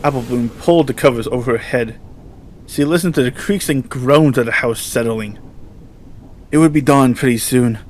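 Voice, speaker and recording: A man's voice: medium pitch, moderate pace, slight background noise. Man solemnly narrating a horror story.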